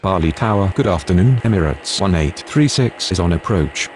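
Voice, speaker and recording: A very low male voice delivers clipped aviation radio phrases in a formal, businesslike way, as a man acting a pilot or controller in a simulator game. There is faint noise behind the voice. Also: animated, normal speed. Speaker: radio voice of airline pilots and air traffic controllers.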